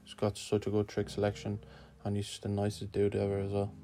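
Low gravelly young man from Lithuania. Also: monotone, brisk, warm tone, faint music behind. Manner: laid-back, swearing, slangy. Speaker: guest skater answering a questionnaire. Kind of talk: casual spoken answers.

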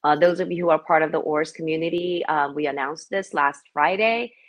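A woman's voice: low voice, brisk. A woman hosting an interview, chatty and conversational, asking open questions. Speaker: interview host.

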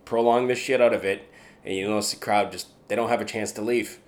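Young Canadian street performer, very low voice; easygoing interviewee, animated and emphatic. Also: male voice, brisk. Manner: animated, builds to emphatic points with repeats. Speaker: street performer and escape artist. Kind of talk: relaxed conversational interview.

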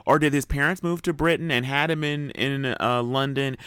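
A man co-hosting a casual review show, chatting in an animated manner. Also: mid-range voice, moderate pace, clear, resonant tone, no room sound. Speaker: podcast co-host reviewing TV shows.

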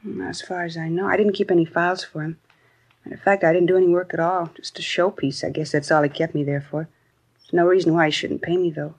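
An actress playing a disgruntled young secretary in a 1950s radio crime drama, talking in casual, complaining, clipped phrases. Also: female voice, lowish voice, steady tone, fast, darkish tone. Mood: matter-of-fact, grudging. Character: disgruntled young secretary, talkative, put-upon, street-wise.

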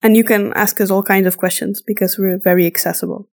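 Lady on a podcast, casual chat w listeners, medium pitched voice. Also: fairly even intonation, fast talker, darkish tone. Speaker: podcast co-host. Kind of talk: casual chat, reading and answering listener questions.

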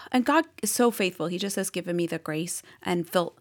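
A woman with a Boston accent speaks earnestly as a podcast guest, her fairly low voice carrying a gravelly edge. She laughs easily and sometimes slips into a soft whisper. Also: sing-song, normal speed. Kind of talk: casual interview chat.

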